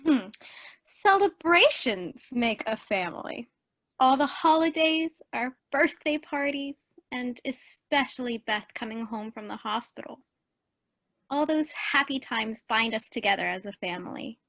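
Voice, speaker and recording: Woman as young sister, fairly high voice, earnest, sentimental, radio drama. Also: expressive, unhurried, a bit bright, no room sound, full character voice. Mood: warm, earnest. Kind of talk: radio play acting.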